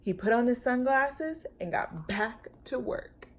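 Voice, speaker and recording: Slow, animated woman reading a children's storybook. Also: on the high side, a little hiss or hum. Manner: animated, drawn-out words and sound effects. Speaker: storytime host reading aloud. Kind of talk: expressive children's picture book narration.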